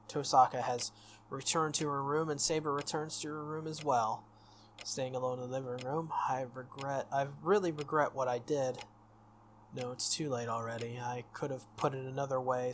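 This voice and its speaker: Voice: mid-range voice, steady tone, moderate pace, a bit bright, slight background noise. A video game playthrough host reading visual novel text aloud with casual reactions, stumbling over and repeating words. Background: game music.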